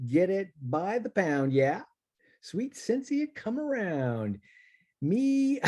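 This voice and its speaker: A man's voice: high-pitched, dark tone, full character voice. A man playing a laid-back reggae-loving cannabis grower with a put-on in a play table read. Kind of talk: table read of a stage play, half-chanted rap. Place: remote video call.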